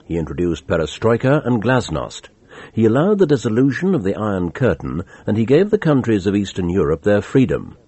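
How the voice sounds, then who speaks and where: Husky, lively man narrating radio news. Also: fairly low pitch, fairly quick, dry recording. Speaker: radio presenter.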